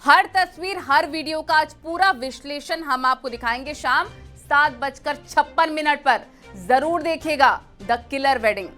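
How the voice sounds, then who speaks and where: A woman anchoring the news with crisp, formal announcing. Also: high-pitched, unhurried, over music. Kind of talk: scripted news reading.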